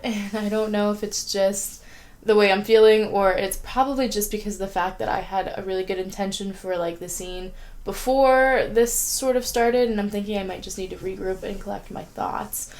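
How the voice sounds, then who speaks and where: A woman's voice: mid-range voice, vocal fry. Chatty young woman with a sniffly cold. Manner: chatty, rambling, frequent "like" fillers. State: sniffly with a sore throat. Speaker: writing vlogger. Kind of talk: casual vlog chat. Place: at home, indoors.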